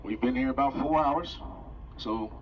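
A man's voice: medium pitch, breathy edge. A man making a formal announcement as train conductor over the public address system. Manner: formal, measured announcer phrasing. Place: train car. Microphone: train PA system, picked up by a phone camera. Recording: distant muffled PA speaker filmed on phone.

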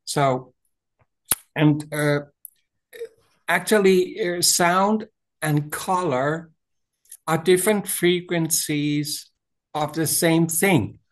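An older Danish-accented man talks slowly and haltingly, with a slightly raised pitch. He clears his throat often and restarts his phrases while speaking through a video call webcam in a small room. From Denmark. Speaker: author and voice teacher. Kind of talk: interview over video call.

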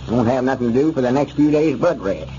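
An actor playing an exasperated, scolding old small-town schemer with a rural Southern accent in a radio comedy. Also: male voice, medium pitch, animated, fast talker, mellow, close to an everyday voice. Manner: urgent, scolding. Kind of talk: 1940s radio comedy acting. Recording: muffled, noisy old radio recording.

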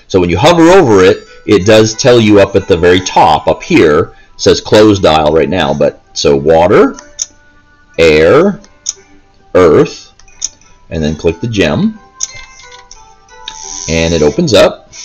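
A man's voice: low-pitched, sing-song, slow pace, pauses often, a little mellow, slightly rough, rough recording. A man giving casual gameplay commentary, explaining step by step in a rambling way. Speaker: gameplay video host. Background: faint game music.

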